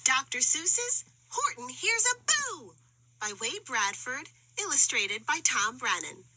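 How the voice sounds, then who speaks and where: A woman reads a rhyming children's story in a very high, bright voice. She takes her time and uses animated, expressive intonation. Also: takes it slowly, a little hiss or hum, rough recording. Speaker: storybook narrator.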